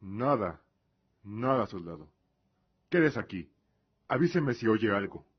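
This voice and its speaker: A man's voice: fairly low pitch, sing-song, a little slow, breathy edge, heavily acted. A man playing an anguished, devout warrior commander and father, desperate and intense, in a fantasy radio drama. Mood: guarded, terse. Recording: dull lo-fi recording.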